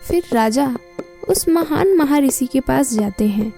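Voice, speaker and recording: Woman, high-pitched, scripted children's story narration, faint music. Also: expressive, takes it slowly, gravelly.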